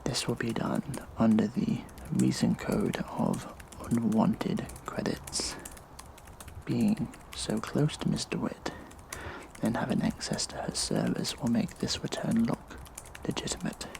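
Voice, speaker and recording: Guy doing a slow whispery gravelly hacker villain, smug n sinister, audio drama, noisy recording w typing. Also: medium pitch, lively intonation. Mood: smug menace. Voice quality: breathy, whispery, gravelly creak. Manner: hushed, sinister, drawling, mock-casual. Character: vengeful gleeful hacktivist vigilante man. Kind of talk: audio drama monologue, addressed to viewers.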